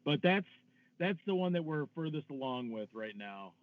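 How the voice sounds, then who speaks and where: A man's voice: a little high, lively intonation, normal speed, no room sound. A man with a Detroit accent, a filmmaker speaking hesitantly in a casual interview. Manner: hesitant, lots of fillers. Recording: tinny phone-like line.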